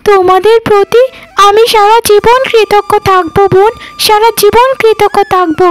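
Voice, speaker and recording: A woman's voice: very high-pitched, steady tone, normal speed. A woman playing a humbled rich village wife in a melodramatic, beseeching cartoon style. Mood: humbly grateful. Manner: wailing, beseeching, repetitive. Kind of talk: melodramatic Bengali cartoon dub acting.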